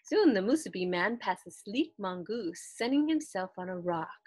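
A woman in her thirties reading a children's story aloud in an expressive, deliberate storytelling style. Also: mid-range voice, dry recording, clean studio sound. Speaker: children's book reader. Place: small indoor room lined with books.

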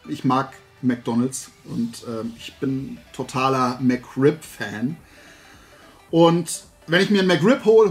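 A middle-aged man, chatting casually and enthusiastically to his viewers as a video host. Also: mid-range voice. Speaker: YouTube host showing new toys. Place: indoor room with shelves of collectibles.

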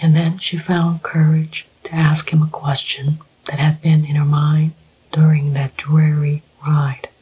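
A hushed storyteller reads a classic novel in an unvoiced whisper. The pace is slow and the delivery flat. The sound is slightly muffled. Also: mid-range voice, husky. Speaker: audiobook reader. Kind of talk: whispered ASMR story reading.

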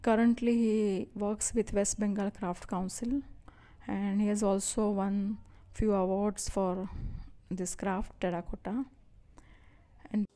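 Slow, mellow woman narrating. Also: medium pitch, little pitch movement. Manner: slow and deliberate. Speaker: presenter introducing a potter. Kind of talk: voice-over introduction.

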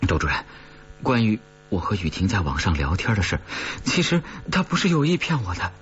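A man's voice: sits low, huge pitch swings, brisk, fairly bright tone, voice pushed up, heavily acted. A man voicing a nervous young boyfriend, stammering and earnest as he explains himself deferentially in an audiobook. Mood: earnest pleading. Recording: dull recording with faint hum.

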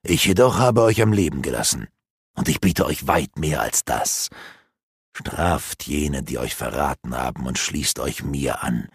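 Margok, a man doing a sneering dark elf. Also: expressive, a little slow, breathy edge, no echo, studio quality, exaggerated voice. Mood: sneering, persuasive. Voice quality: low, hushed and sneering. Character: gloating sinister male dark elf sorcerer.

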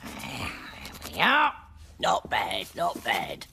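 Grumpy, creaky old cartoon battle-axe. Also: female voice, extremely low pitch, huge pitch swings, drawn-out words, pushed and bright, a little hiss or hum, full character voice. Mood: gloating. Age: older. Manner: imperious, grumbling. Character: grumpy, greedy, bossy old landlady. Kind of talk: cartoon voice acting.

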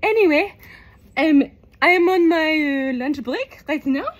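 Silly French doctor clown for kids' skit, played by a woman: high, very animated, apologetic, halting, French accent. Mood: playful, chatty. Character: clumsy, silly French lung doctor clown entertaining children. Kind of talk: improvised children's show skit.

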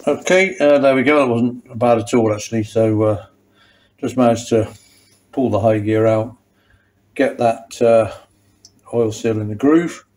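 A man's voice: mid-range voice, fairly even intonation, measured pace, pauses often, a little mellow. Chatty older Englishman giving a workshop tutorial. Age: middle-aged or older. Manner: chatty, self-deprecating, wry asides. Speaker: motorcycle mechanic and host. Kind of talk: casual hands-on workshop tutorial. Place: small indoor workshop at a workbench.

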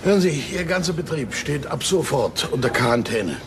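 An actor playing a brisk, authoritative police detective in a crime drama, curt and commanding. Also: male voice, fairly high pitch, expressive, background noise. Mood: stern. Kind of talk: crime drama acting in German.

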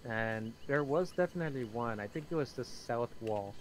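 A man's voice: medium pitch, expressive, moderate pace, slight background noise, rough recording. A man chatting casually with teammates during a video game. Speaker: gaming teammate.

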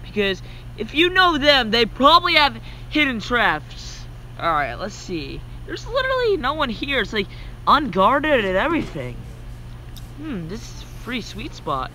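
A man's voice: very high voice, wildly swooping pitch, a little slow, pushed and bright, a little hiss or hum, heavily acted, rough recording. A young man playing a casual, exclamatory hero in an amateur Minecraft roleplay movie. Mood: determined. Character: young male hero leading a zombie-fighting mission.

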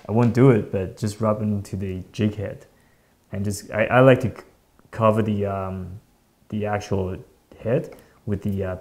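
A man in his thirties hosting a fishing show, explaining gear in a relaxed, hesitant way with many ums, often trailing off softly. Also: lowish voice. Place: bright indoor studio at a table.

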